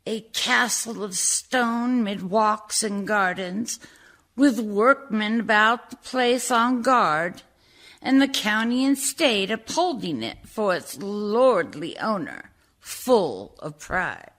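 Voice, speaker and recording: A woman playing a sly, destitute woman telling her story in a dramatic radio verse monologue, slowly and deliberately. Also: mid-range voice, expressive, fairly bright tone. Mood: hungry, visionary. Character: sly, destitute woman recounting how she claimed a mansion.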